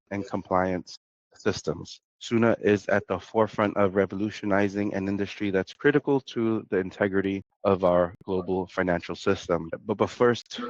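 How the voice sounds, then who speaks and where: A man's voice: on the low side, dry recording, lo-fi sound. A man with a cold and a nasal sound, hosting a podcast interview in a conversational way with some fillers and restarts. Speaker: podcast host.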